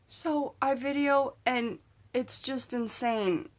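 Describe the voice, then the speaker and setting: A middle-aged woman telling a casual comedic story to camera in an animated, candid, conversational way. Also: high voice, slow. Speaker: vlog host and storyteller. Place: small indoor space in front of a curtain.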